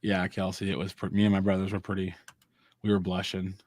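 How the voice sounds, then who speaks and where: A man's voice: deep voice, monotone, fairly quick, darkish tone, no echo. A man in his late thirties hosting a podcast, chatting casually and rambling through stories. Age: 30s. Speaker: podcast host. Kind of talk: casual chat with live chat readouts.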